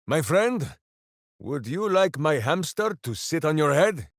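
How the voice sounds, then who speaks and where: A hulking, earnest ranger from a fantasy video game who refers to himself in the third person and makes grand heroic proclamations about good and evil. His delivery is slow and deliberate, and his pitch swings widely between booming highs and low rumbles. The sound is dry and close, with no room around it. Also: very animated, no room sound. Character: hulking earnest male ranger warrior with a pet hamster. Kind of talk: game voice lines.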